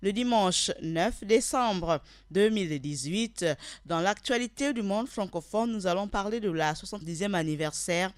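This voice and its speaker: A woman hosting a radio program, speaking in a formal, deliberate announcer manner. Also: mid-range voice, lively intonation, no echo. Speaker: radio host. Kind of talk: radio show presenting. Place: radio studio.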